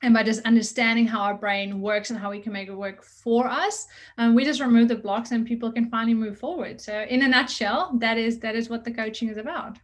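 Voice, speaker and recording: A young woman with a mixed accent from the Netherlands by way of South Africa speaks as a guest coach on a podcast. Her voice sits a little high, and she talks earnestly, often restarting her sentences with ums. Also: fairly even intonation, brisk. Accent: mixed Dutch and South African. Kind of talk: casual podcast interview.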